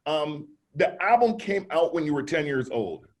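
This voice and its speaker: Upbeat talk show host, man, higher voice. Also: sing-song, moderate pace. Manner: hypey introductions, frequent affirming "right". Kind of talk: interview hosting.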